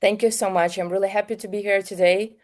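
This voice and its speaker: Mellow-voiced Ukrainian woman, earnest. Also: mid-range voice, fairly even intonation. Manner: earnest, halting phrasing in non-native English. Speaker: guest, health business founder. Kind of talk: conversational interview answers.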